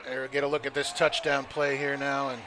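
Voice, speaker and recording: A man's voice: medium pitch, level tone, a little fast, background noise. A man working as color commentator at a live football game, analyzing plays in a casual, conversational and somewhat hesitant way.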